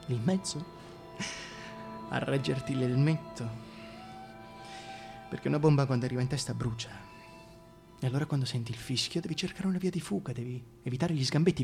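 A man playing a weary, wary figure in a radio drama, giving a hushed, deliberate, poetic monologue. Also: medium pitch, faint music behind, natural voice. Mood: brooding, wary. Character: weary, fearful man brooding on war and betrayal.

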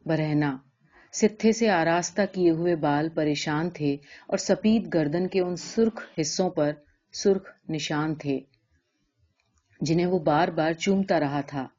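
A woman's voice: deep voice, a little mellow, muffled recording. A woman narrator reading a literary short story as scripted narration.

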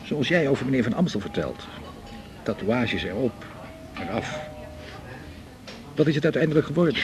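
A man's voice: mid-range voice, very animated, normal speed, over music, natural voice. A man with a Dutch accent from the Netherlands playing a cheerful, confiding doctor chatting with a colleague in a radio drama. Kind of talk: naturalistic radio drama dialogue.

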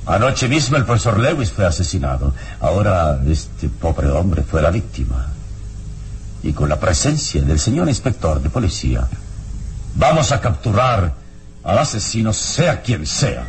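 An actor playing a calm, resolute, courteous hero in a vintage radio drama, speaking with solemn, deliberate gravity. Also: male voice, sits low, exaggerated voice. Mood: grave, firm. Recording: faintly noisy old radio recording.

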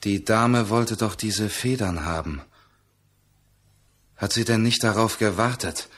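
Husky, slow, bewildered jungle hunter. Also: male voice, fairly low pitch, fairly bright tone. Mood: stunned, pleading. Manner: halting, plaintive questioning. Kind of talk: German radio play acting.

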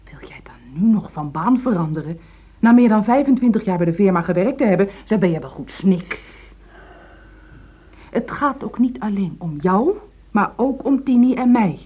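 An actress playing a pragmatic, pushy middle-aged wife, insistent and reproachful, in a radio play. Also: female voice, medium pitch, expressive, normal speed, husky. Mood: insistent, scolding. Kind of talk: Dutch radio play acting. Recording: thin, phone-like old radio play recording.

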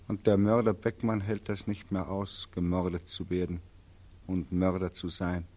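Slow, haunted war returnee. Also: male voice, fairly low pitch. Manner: anguished, incantatory, dramatic. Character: despairing war returnee Beckmann, haunted, exhausted, bitter. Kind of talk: 1940s German radio play acting, declaimed monologue.

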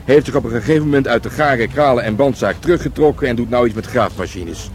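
A man's voice: medium pitch, fast talker, slight background noise, close to an everyday voice. Radio drama sergeant male actor: mid-range voice, easygoing, wry, likes to tease his partner. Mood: amused, teasing. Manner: wry, teasing. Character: laid-back, teasing male police sergeant undercover as market trader. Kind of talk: radio play acting.

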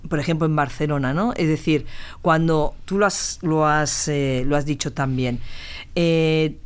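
A man's voice: fairly high pitch, fairly even intonation, a little slow, smooth. A man with an accent from Spain in casual discussion, often repeating words as he works out a thought. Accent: Spanish from Spain. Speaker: podcast participant and activist. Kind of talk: casual chat.

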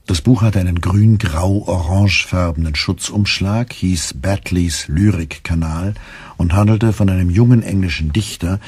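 Male radio play narrator, very deep, husky, dry studio sound. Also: measured pace, darkish tone, no room sound.

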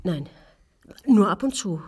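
A woman's voice: medium pitch, expressive, slow pace, darkish tone, breathy edge, heavily acted. A woman playing a timid, guarded wife of a suspect, answering hesitantly and cautiously in a radio crime drama. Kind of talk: German radio drama dialogue.

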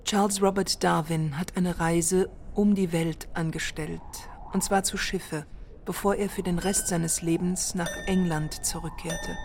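A woman's voice: lowish voice, fairly even intonation, measured pace, darkish tone, slight background noise. A woman narrating a literary text in a scripted radio play. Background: music.

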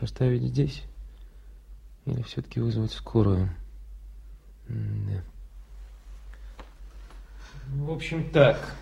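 Gravelly-voiced, kindly doctor. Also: male voice, medium pitch. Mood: firm, concerned. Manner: gently bantering, reassuring but firm. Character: kindly, wryly bantering male hospital doctor. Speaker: radio play actor. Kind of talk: 1980s Soviet radio drama acting.

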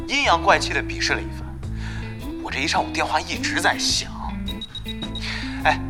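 An actor playing a flustered, excitable, put-upon gamer who grumbles and stammers, in a Chinese audio drama. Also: male voice, a little high. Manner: exasperated, voluble, stammering complainer. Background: music.

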